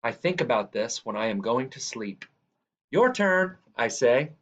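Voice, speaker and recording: A man reading a children's picture book aloud in a measured, deliberate way. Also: mid-range voice, sing-song, fairly bright tone. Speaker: reader. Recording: dull, lo-fi sound.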